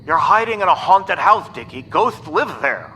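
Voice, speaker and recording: A man's voice: on the high side, sing-song, normal speed, sharp tone. A man playing a nerdy, pedantic boy sidekick in an audio story, talking dramatically over a tinny radio. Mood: pedantic, exasperated. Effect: tinny radio transmission. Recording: tinny walkie-talkie with faint noise.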